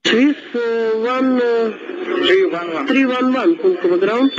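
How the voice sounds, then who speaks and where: A man's voice: very high voice, lively intonation, measured pace, gravelly, a crowd in the background. A male ground controller passing short instructions over aviation radio. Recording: very noisy, dull radio transmission.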